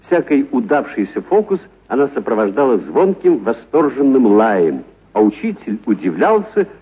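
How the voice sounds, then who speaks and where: A man reads literary fiction as a dramatic radio storyteller. His voice is husky and swings widely in pitch. The recording is narrow and muffled, like an old broadcast. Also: big pitch swings, normal speed. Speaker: narrator, actor reading a story.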